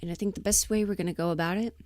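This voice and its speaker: Low-voiced woman, animated casual streamer. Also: racing, no echo, clean studio sound. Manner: animated, opinionated, swears, emphatic. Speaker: livestream host and voice performer. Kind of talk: casual rambling livestream chat.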